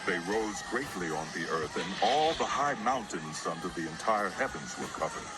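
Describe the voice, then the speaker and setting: A man's voice: on the low side, heavy background noise. A man narrating scripture as a dramatized story in a solemn, theatrical manner. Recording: voice over prominent music.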